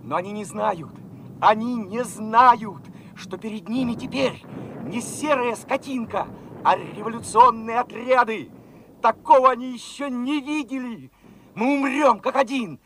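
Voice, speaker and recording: An actor in a Soviet radio play playing a fervent young revolutionary soldier, talking in an excited, colloquial way. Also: male voice, extremely high pitch, unhurried, slight background noise, exaggerated voice, poor audio. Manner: excited, colloquial, eager questioning.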